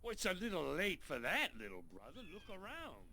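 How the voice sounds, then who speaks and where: A man's voice: high-pitched, wildly swooping pitch, fairly quick, sharp tone, faint music behind, full character voice. A man playing a menacing, hardened gunslinger in a Western game, mocking and sneering as he talks. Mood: bitter, mocking. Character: menacing, sardonic gunslinger, hardened older brother. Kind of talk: Western game cutscene acting.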